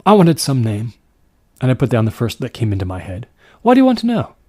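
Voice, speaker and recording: A man's voice: mid-range voice, wildly swooping pitch, a little fast, warm tone, slightly rough, no room sound, clean studio sound. Man acting an earnest, lilting village stonemason. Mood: puzzled. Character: earnest, plain-spoken male village stonemason, proud and chatty.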